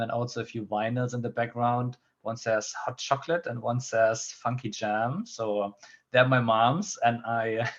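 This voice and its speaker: A man's voice: mid-range voice, lo-fi sound. A man with a German accent, a therapist and coach, introducing himself in an upbeat, chatty way on an online panel. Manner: upbeat, chatty, self-deprecating. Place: home room.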